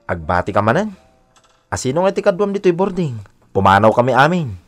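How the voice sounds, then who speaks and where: Casting: rowdy, ribbing barkada pal for radio drama played by a man, Filipino accent, very animated pitch. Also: fairly quick, no echo, close to an everyday voice. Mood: teasing, urging. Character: teasing, boisterous drinking buddy. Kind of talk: Ilocano radio drama acting.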